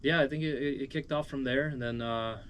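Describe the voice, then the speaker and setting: A man's voice: mid-range voice, fairly even intonation, a little fast, crowd noise. A man with an American accent giving a casual, rambling interview as a musician. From moved as a small child from Uzbekistan, raised in Cleveland, USA.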